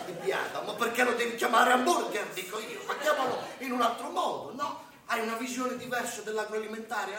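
A man playing a high-pitched, rambling sardonic chef. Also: sharp tone. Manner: colloquial, digressive, exclamatory, punctuated with rhetorical check-ins. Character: rambling, sardonic Italian restaurant chef, irreverent and boastful. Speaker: stage actor in a satirical monologue. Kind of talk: comic theatre monologue, colloquial direct address. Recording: distant roomy live recording, faint noise.

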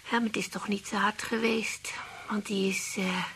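An actress playing an elderly, cynical widow in a radio play, grumbling in a matter-of-fact, gossipy way with a creaky, raspy voice. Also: female voice, medium pitch, fairly even intonation, normal speed, fairly bright tone, slight background noise. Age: older. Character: dry, cynical elderly widow who distrusts men.

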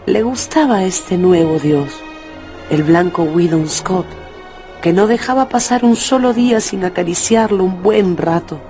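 A male narrator telling a dramatised story for radio in a measured, expressive way. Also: high voice, faint music behind.

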